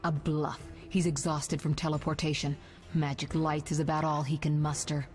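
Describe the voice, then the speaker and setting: An actress playing a confident, knowledgeable sorceress in a fantasy game, assured and instructive. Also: female voice, low-pitched, flat delivery, normal speed, a little mellow, soft background music, lightly acted. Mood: composed, urgent.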